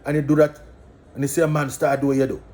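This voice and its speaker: A man's voice: fairly high pitch, level tone, fast talker, a little mellow, slight background noise. A man from Antigua with an Antiguan accent, talking his views in a casual, preachy monologue, repeating phrases for emphasis.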